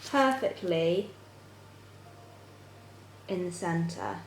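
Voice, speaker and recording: A young woman with a slightly croaky voice, chatting casually through a baking tutorial in her home kitchen, laughing often. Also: on the low side, wildly swooping pitch, very slow, a little hiss or hum. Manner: chatty, with frequent little laughs. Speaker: cake-making vlogger. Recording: roomy kitchen echo.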